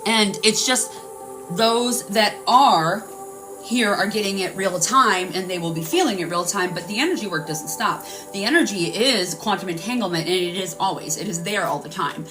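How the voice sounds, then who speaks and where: A woman's voice: mid-range voice, animated, normal speed, recorded from a distance. Rambling woman healer off-mic over music. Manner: earnest, rambling, guiding breathing aloud. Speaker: energy healer hosting a live stream. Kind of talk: live-streamed healing session. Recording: voice a bit off-mic with music playing.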